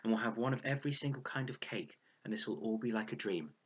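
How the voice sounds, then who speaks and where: A wistful, kind young girl from a children's storybook, as a male reader puts her on. The voice is lifted well up, hushed and breathy, with a husky grain. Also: fairly low pitch, quick pace, pitched above the performer's own voice. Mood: hopeful.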